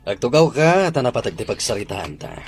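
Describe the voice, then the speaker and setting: A man's voice: mid-range voice, big pitch swings, brisk, a little hiss or hum. A man playing a solemn, persuasive school principal in a radio drama, grave and authoritative.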